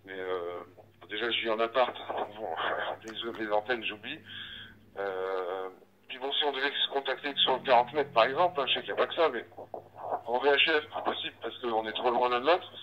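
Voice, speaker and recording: Low-voiced man on dull ham radio. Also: slight background noise. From northern France. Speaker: amateur radio operator. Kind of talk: casual radio chat, explaining. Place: outdoors in the street, walking. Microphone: handheld radio transceiver. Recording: dull, band-limited digital ham radio link.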